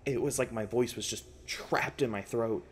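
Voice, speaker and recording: A man playing a shaken, traumatized researcher in an audio roleplay, speaking in a halting, stammering monologue. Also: mid-range voice, a little hiss or hum, lightly acted. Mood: haunted, distressed. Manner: halting, stammering, faltering delivery. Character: shaken, traumatized male researcher recounting torment. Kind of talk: ASMR roleplay acting, intimate monologue.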